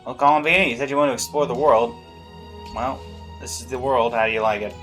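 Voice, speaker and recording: A man's voice: medium pitch, a little fast, fairly bright tone. A man commentating casually on a video game, musing aloud and reading bits of on-screen text. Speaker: gaming streamer reacting to a story quest. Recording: faint game music behind.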